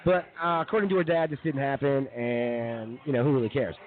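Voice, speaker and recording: A man's voice: medium pitch, fairly even intonation, brisk. A man co-hosting a radio show and casually recounting news stories, with a rambling, hesitant delivery. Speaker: co-host, police news segment. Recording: tinny phone-like sound.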